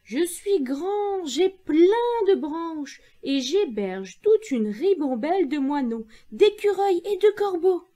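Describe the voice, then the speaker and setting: A woman plays a friendly talking tamarind tree from a children's storybook. The voice is high and swoops with enthusiasm. It explains things slowly and cheerfully to young listeners. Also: very animated, warm tone, full character voice. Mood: proud.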